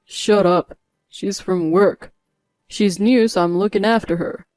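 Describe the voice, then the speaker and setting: A woman's voice: mid-range voice, steady tone, unhurried, a little mellow, dry recording, close to an everyday voice. A woman voicing an arrogant, dismissive husband in a narrated story, speaking in a curt, bossy manner.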